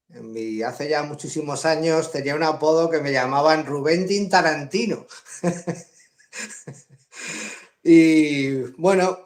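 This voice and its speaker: A middle-aged man from Madrid with a Spanish accent, chatting casually as a guest on a live video call and laughing often. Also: a little high. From Madrid, Spain. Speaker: guest drone hobbyist. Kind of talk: casual live-stream interview.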